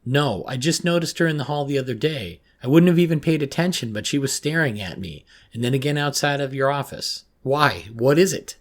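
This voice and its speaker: A man's voice: fairly low pitch, expressive, normal speed, few pauses, husky, pitched above the performer's own voice. Man voicing a distraught, frightened teenage girl. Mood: agitated, demanding. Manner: pleading, emphatic, agitated. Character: frightened, distraught teenage schoolgirl haunted by nightmares.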